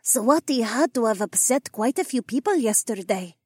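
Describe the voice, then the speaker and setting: A man voicing a thoughtful young woman in an audiobook, speaking softly. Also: very high-pitched, animated, normal speed, breathy edge, no room sound, clean studio sound, voice pushed up, heavily acted. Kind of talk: audiobook character voice.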